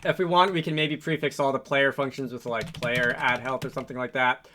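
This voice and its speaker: A man hosting a casual coding tutorial, thinking aloud in a halting, improvised way with frequent hesitations. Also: mid-range voice, steady tone, sharp tone, slight background noise. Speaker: tutorial host, game developer.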